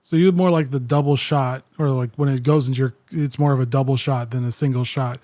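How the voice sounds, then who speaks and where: A man's voice: mid-range voice, steady tone, brisk, warm tone, dry recording, clean studio sound. A man hosting a podcast, introducing a guest and asking friendly, conversational questions. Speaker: podcast host.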